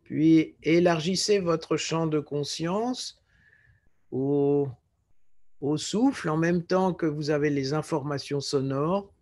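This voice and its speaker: A man guiding a relaxation meditation as a yoga nidra teacher, speaking in a calm, measured way with short phrases and pauses. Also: a little high. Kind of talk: guided relaxation meditation instruction.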